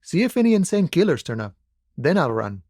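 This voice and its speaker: An actor playing an easygoing, philosophical union dockworker in a video game, talking in a relaxed, wry and familiar way. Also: male voice, on the high side, brisk, dry recording, studio quality. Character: laid-back philosophical union dockworker, easygoing, wryly friendly man. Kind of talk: video game dialogue voice acting.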